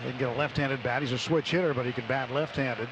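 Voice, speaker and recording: A man's voice: medium pitch, fairly even intonation, quick pace, noisy background. An American man commentating live on a baseball game for radio. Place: stadium broadcast booth. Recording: loud stadium ambience on radio broadcast. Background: loud ballpark ambience.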